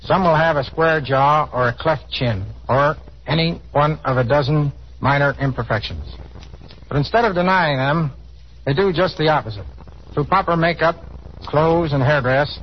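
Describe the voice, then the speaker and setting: A man's voice: fairly high pitch, moderate pace. A man giving formal, explanatory scripted answers as a guest expert in a 1930s radio interview. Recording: muffled 1930s radio broadcast, faint noise.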